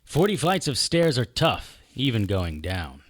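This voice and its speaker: A man's voice: mid-range voice, sing-song, a little slow. An actor playing a wry, impulsive, tough detective who narrates his own story in an audio drama. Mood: tense narrating. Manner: wry, hard-boiled. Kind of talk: first-person audio drama narration and acting.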